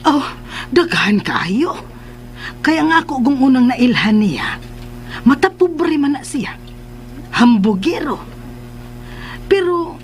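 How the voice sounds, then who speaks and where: A woman's voice: high-pitched, a little hiss or hum, full character voice. A woman playing a chatty, candid motherly older woman in a radio drama, exclaiming and drawing out her words.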